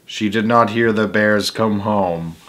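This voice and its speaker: A man's voice: on the low side, slow, fairly bright tone. A man reading a children's story aloud as its host, with emphatic delivery and casual asides.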